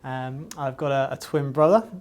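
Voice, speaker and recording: A man's voice: medium pitch, moderate pace, darkish tone, slight background noise. A man from Wales, speaking in a corporate promotional interview and leaning on "you know" fillers. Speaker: distributor sales representative.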